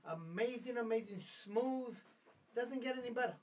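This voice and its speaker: A man guest, an animated storyteller who slips into Spanish. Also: very high voice, normal speed. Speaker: guest, former radio worker. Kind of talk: casual chat. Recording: distant mic in a studio.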